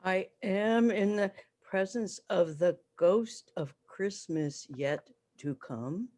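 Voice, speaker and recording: A woman's voice: medium pitch, slow pace, dark tone, close to an everyday voice. A woman playing a fearful, humbled old male miser in a dramatic story reading, solemn and earnest.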